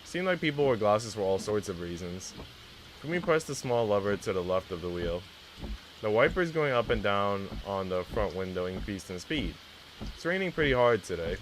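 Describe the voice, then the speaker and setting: Man, low voice, casual streamer, reads story text aloud, slangy and teasing, some background noise. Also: sing-song, moderate pace, low-quality recording. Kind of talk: reading a visual novel aloud, casual chat with viewers.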